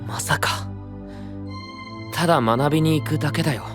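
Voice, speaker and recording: A man playing an earnest, troubled young knight of a secluded kingdom in a Japanese fantasy voice drama, soft-spoken, measured and sincere. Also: fairly high pitch, music in the background, lightly acted. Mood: earnest, resolute.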